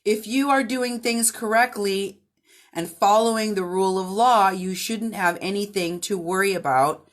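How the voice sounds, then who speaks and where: A woman hosting and interpreting into English, talking in a halting way with frequent restarts. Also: mid-range voice.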